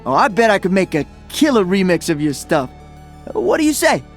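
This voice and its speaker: A man's voice: high-pitched, sing-song, moderate pace, soft background music. A man voicing an upbeat, playful young celebrity DJ hero in a video game, joking casually. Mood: excited, friendly. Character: upbeat young male Brazilian celebrity DJ and freedom fighter.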